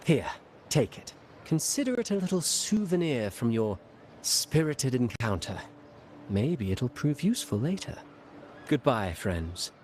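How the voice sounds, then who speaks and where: A theatrical male playwright for a game. His voice sits in a middle register and he spins dark tales with teasing, dramatic relish. Faint ambient noise hums underneath. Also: medium pitch, sing-song, measured pace. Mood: sly. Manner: dramatic, teasing, mysterious storytelling. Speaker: game character. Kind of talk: game cutscene voice acting.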